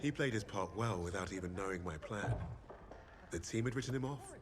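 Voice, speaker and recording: An actor playing a calm, warm-hearted leader of captured soldiers in a war game, measured and composed. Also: male voice, on the low side, natural voice. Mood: assured. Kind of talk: cinematic war game acting.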